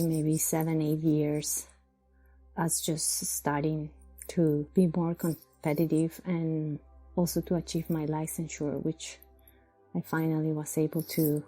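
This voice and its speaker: Slow, mellow-voiced woman from Colombia. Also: low voice. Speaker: guest architect. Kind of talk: recorded personal account.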